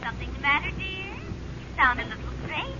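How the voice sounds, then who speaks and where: A woman's voice: very high-pitched, very animated, a little fast, pushed and bright, heavily acted. A woman playing a chatty, meddling older mother heard through a telephone filter in a 1940s radio sitcom. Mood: nosy, concerned. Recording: very noisy, muffled phone on old radio.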